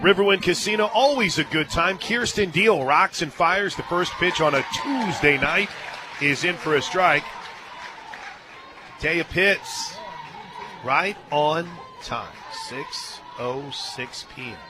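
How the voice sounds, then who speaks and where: An American man calling live softball on the radio as play-by-play announcer, with folksy, joking banter. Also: on the high side, slow, over music, crowd noise. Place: stadium radio booth.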